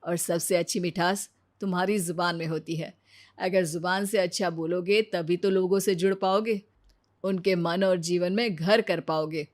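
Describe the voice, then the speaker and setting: महिला की आवाज़ में आत्मविश्वासी, समझाने वाला पति. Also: female voice, on the low side, fairly even intonation, normal speed, natural voice. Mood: gently advising. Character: clever, teasing husband who lectures his proud wife.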